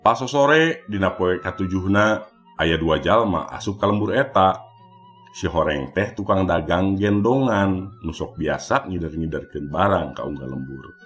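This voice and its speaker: A man's voice: low-pitched, animated, a little slow, fairly bright tone. A man with a Sundanese accent, narrating a scripted mystery folktale as a storyteller. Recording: music bed behind the voice. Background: background music.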